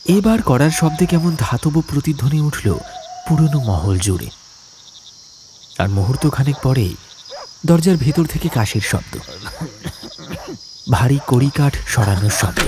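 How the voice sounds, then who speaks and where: A man's voice: medium pitch, background noise. A man narrating a scripted ghost story as an audiobook, in a suspenseful, dramatic manner. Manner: suspenseful, expressive.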